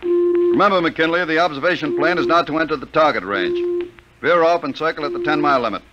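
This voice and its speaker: An actor playing a brisk, authoritative army general with clipped military delivery in a 1950s radio drama. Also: male voice, a little high, close to an everyday voice. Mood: brisk, commanding. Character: commanding, brisk army general running a weapons test. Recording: dull 1950s radio recording, loud music.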